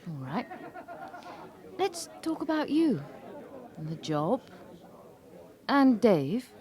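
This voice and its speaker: A woman's voice: medium pitch, sing-song, takes it slowly, darkish tone, a faint crowd. An actress playing a frank, teasing British woman who probes directly, in a radio drama. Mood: teasing, probing. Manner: direct, probing. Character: frank, teasing woman, the police sergeant's girlfriend.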